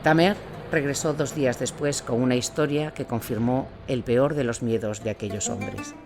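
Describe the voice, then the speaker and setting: A man narrating a scripted audio drama as a war reporter, in a measured, solemn storytelling manner. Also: medium pitch, fairly even intonation, natural voice. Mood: grave, measured. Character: male war reporter recounting grim events in Iraq. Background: music bed.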